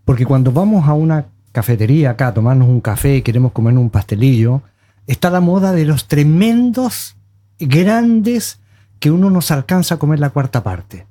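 A man's voice: on the high side, lively intonation, normal speed, mellow. A man in his seventies chatting casually as a panelist on a show. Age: 70s. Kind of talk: casual chat.

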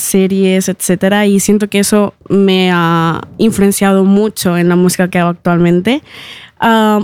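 A young woman from Ecuador, a singer being interviewed in a radio studio. Her voice is smooth and resonant, recorded close and dry, and she laughs easily between thoughts and pads her answers with soft fillers. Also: mid-range voice, no echo. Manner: laughs often, speaks with fillers like "eh" and "am".

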